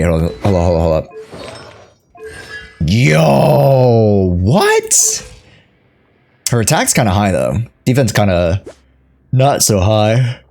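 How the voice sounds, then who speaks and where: A young man giving casual live gameplay commentary as a streamer, playful, slangy and quick to react, often talking to himself. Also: mid-range voice. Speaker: game streamer. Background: faint game music.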